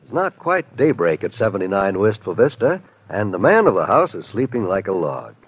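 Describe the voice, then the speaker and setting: Animated male old-time radio announcer over music. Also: lowish voice, moderate pace, muffled recording. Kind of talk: 1950s radio announcing, scripted narration.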